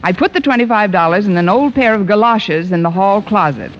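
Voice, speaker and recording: An actress playing a quick-witted female schoolteacher in a 1940s radio comedy, with crisp, dry wisecracking delivery. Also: medium pitch, natural voice. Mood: wry storytelling. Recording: dull, hissy 1940s radio recording.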